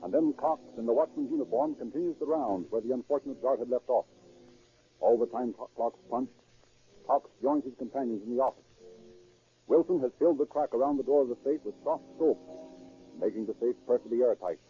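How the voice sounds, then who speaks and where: A man's voice: medium pitch, a little fast, husky. A man narrating a crime drama in dramatic, scripted 1930s radio style. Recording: tinny, narrow 1930s radio recording.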